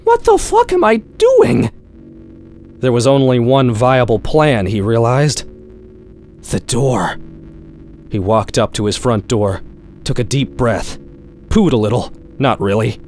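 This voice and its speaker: A man reading a horror-comedy short story aloud in an expressive, wry, profane style. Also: medium pitch, a little slow, pauses often, slight background noise. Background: background music.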